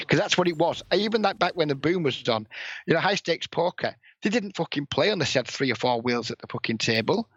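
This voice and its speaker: A man with a northern English accent and a raspy voice, a blunt, heavily swearing guest in a casual podcast interview who sniffs and coughs. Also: medium pitch, a bit bright, no echo. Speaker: poker player and coach, guest. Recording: dull lo-fi, dry sound.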